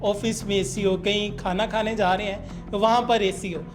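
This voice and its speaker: A man's voice: high voice, level tone, normal speed, over music. A Pakistani man hosting a podcast interview, speaking politely and respectfully as he presents and asks questions. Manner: polite, addresses guest respectfully.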